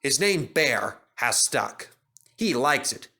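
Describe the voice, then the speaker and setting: A man reading a children's story as narrator, in an expressive scripted style. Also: medium pitch, takes it slowly.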